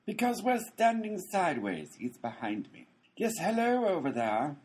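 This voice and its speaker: Performer as sarcastic postal clerk, raspy, very animated intonation, impatient, flippant, radio comedy. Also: medium pitch, normal speed. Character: sarcastic, cranky postal clerk, one of conjoined twins.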